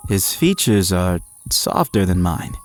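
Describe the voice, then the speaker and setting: An actor playing an eager, frazzled young male athlete, narrating his own story in an audio drama with expressive, at times hesitant delivery. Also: low voice, slow, faint music behind, exaggerated voice. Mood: curious, awkward. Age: young adult. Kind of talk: first-person audio drama narration and dialogue.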